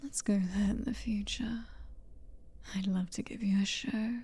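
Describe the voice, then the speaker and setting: A woman with a British accent voicing a possessive, centuries-old female vampire noblewoman in a slow, intimate whispered roleplay. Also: medium pitch, steady tone, mellow, a little hiss or hum, lightly acted. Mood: teasing. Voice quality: breathy whisper. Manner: slow, intimate, teasing and controlling. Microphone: close microphone.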